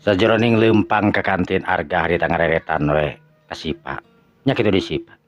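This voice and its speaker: A deep-voiced man tells a Sundanese folk tale in a Sundanese accent, slow and drawn out, stumbling now and then. The audio is dull and lo-fi, with faint music behind him. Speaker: storyteller.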